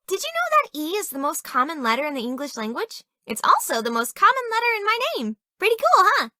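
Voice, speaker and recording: A woman's voice: high voice, wildly swooping pitch, fast, bright, forceful tone, no echo, studio quality, heavily acted. A young woman voicing a shy, bookish, easily flustered dating-game heroine, sweetly awkward and hesitant. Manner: hesitant, breathy stammering, girlish and earnest. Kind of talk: video game dating-sim voice acting.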